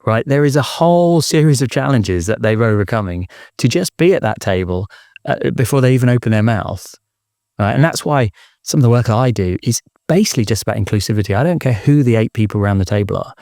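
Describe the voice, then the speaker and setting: A man's voice: mid-range voice, lively intonation, quick pace, vocal fry, darkish tone, no room sound, clean studio sound. A British man speaking reflectively as an interview guest, thoughtful and checking his points with little tag questions. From United Kingdom. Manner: thoughtful, peppers points with "right?". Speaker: interview guest, inclusion consultant.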